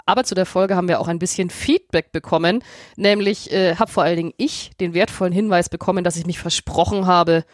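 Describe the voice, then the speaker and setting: A woman co-hosting a podcast in casual chat, sounding a bit off from a cold but enthusiastic and quick to laugh. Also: fairly low pitch, fairly even intonation, dry recording, studio quality. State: has a cold. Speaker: podcast co-host, astrophysicist.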